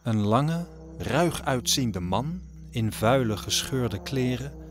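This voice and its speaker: A man delivers scripted storytelling narration for an audio drama. His pitch sits in the middle. Music plays prominently behind his voice. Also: expressive, slow pace.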